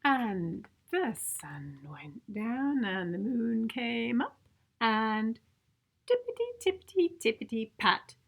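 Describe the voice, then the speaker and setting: A woman's voice: a little high, sing-song, slow, vocal fry. A woman reading a picture book aloud to young children in a theatrical, playful way, leaning into sound words and stressed adjectives. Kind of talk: expressive storybook read-aloud for young children.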